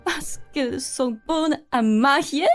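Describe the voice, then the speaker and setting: A woman's voice: high-pitched, slow pace, faint music behind. A woman playing a rich, effusive aristocratic lady in a student radio play, speaking grandly with theatrical sighs. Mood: chatty, gracious.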